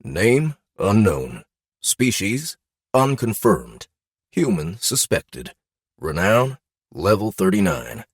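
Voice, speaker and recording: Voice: medium pitch, very animated, slow pace, breathy edge, no room sound, heavily acted. Casting: magical game status readout performed by a narrator, impersonal and clipped, recorded close and dry.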